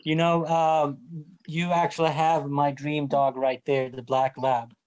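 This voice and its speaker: A man's voice: on the high side, flat delivery, a little slow, a little mellow, smooth. A man from Sweden with a Swedish accent, chatting on a video call with a study partner, friendly and a bit hesitant, chuckling often.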